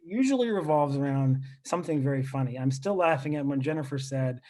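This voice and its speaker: A man's voice: mid-range voice, brisk. A man in his thirties, born in Lebanon, doing a self-deprecating stand-up set over a video call from a rented apartment. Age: 30s. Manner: deadpan, self-deprecating, chatty asides. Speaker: stand-up comedian.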